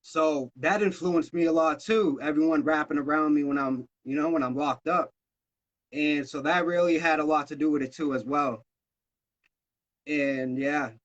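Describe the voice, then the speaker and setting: A man's voice: on the high side, moderate pace, dull audio. A man in his thirties from Massachusetts, a rapper talking loosely and casually in an interview, swearing freely. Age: 30s. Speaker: rapper guest.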